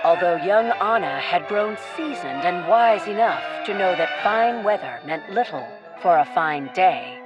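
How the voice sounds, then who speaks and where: A woman's voice: on the low side, expressive, a little slow, few pauses, muffled recording. A woman narrator reading scripted adventure storytelling in a theatrical, literary manner. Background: music.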